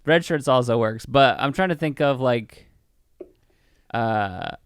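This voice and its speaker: A man's voice: medium pitch, lively intonation, takes it slowly, clear, resonant tone, no room sound, studio quality. A man co-hosting a podcast, chatting casually about films in a profane, slangy way. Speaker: podcast co-host. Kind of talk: casual film review chat.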